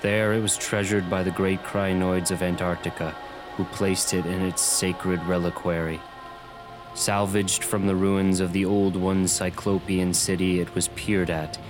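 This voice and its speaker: Radio horror drama: a deep-voiced, grave, haunted man, dreamlike and brooding, music underneath. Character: troubled man haunted by visions of cosmic horror.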